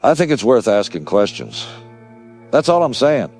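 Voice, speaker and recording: A man's voice: mid-range voice, sing-song, moderate pace, a little mellow, soft background music. A man playing a suspicious, insistent caller on a radio phone-in. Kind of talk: radio drama phone-in acting.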